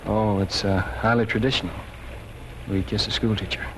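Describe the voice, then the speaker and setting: An actor playing a gentle, lovestruck young small-town man in 1940s radio style. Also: lowish voice, fairly even intonation, moderate pace, close to an everyday voice. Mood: wistful, teasing. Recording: noisy 1940s radio broadcast.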